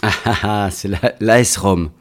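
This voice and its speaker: A Belgian man in his forties with a low, deep voice. He talks in a relaxed, chatty way, restarting his phrases often and dropping in a steady "ouais". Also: moderate pace. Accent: Belgian French. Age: 40s. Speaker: guest. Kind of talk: casual chat.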